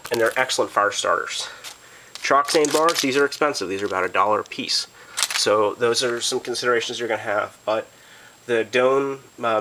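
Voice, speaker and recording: A man's voice: medium pitch, pushed and bright, a little hiss or hum, poor audio. A man getting over a cold, casually walking through his gear with lots of ums and uhs, recorded on a handheld camera in a carpeted room at home. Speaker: prepper vlogger.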